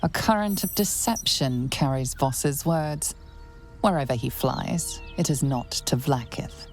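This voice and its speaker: An ominous fantasy game narrator. Her voice is extremely low with a gravelly creak. She is composed and portentous, with music behind her. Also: animated, a little slow, full character voice.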